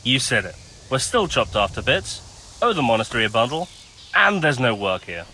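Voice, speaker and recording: Lively, cheeky village carpenter. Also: male voice, medium pitch, moderate pace, slight background noise, low-quality recording. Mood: joking, griping. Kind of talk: video game cutscene dialogue.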